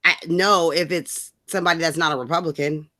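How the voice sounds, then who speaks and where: A woman's voice: deep voice, steady tone, a little fast, sharp tone. Casting: woman co-host, exasperated and slangy, swears freely in loose, chatty rants. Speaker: co-host of political rant show. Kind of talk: casual chat, profane political ranting.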